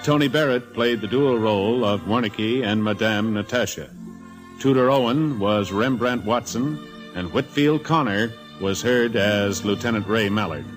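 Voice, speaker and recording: A man's voice: medium pitch, rough recording. A man working as a radio announcer, reading a script in 1940s network style. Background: music.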